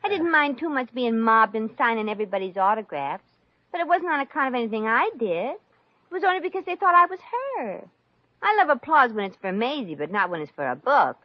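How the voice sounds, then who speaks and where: A woman's voice: fairly high pitch, sing-song, brisk, no room sound. An actress playing a brassy, kind-hearted, wisecracking showgirl in the style of 1950s radio comedy, with wry, slangy delivery. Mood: candid. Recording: dull vintage radio recording, faint music.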